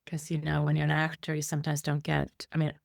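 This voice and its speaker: Low-pitched woman from iceland, rambling with lots of ums, dry close sound. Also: monotone, fast, gravelly, no echo. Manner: rambling, many fillers and restarts. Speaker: guest. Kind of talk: conversational interview storytelling.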